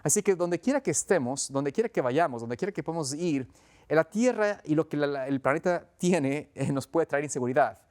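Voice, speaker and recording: A man's voice: a little high, lively intonation, brisk, darkish tone. A man with an Ecuadorian accent preaching as a pastor in a conversational, earnest, explanatory way, often rephrasing as he goes. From Quito, Ecuador. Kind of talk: conversational sermon.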